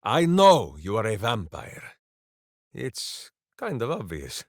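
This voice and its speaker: An actor playing a big-hearted, simple-minded berserker ranger in a video game, declaiming grandly and earnestly. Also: male voice, fairly low pitch, huge pitch swings, takes it slowly, no echo, studio quality, full character voice. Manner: grandiose third-person declamation, earnest and theatrical. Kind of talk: video game character voice lines.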